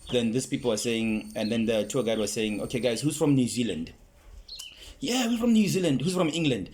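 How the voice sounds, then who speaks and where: Rambling South African podcast host. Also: male voice, medium pitch, lively intonation, a little fast, darkish tone, slight background noise. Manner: rambling, lots of fillers, "you know what I mean". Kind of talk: casual podcast chat, storytelling.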